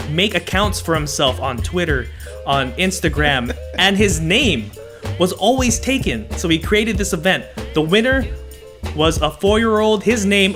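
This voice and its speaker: A man's voice: sits high, a bit bright. A man hosting a podcast, presenting short stories and bantering with his panel. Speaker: podcast host.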